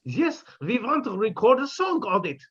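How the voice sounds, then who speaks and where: Man voicing a pompous professor, husky, lively, muffled lo-fi sound. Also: extremely high pitch, normal speed, exaggerated voice. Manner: florid, theatrical.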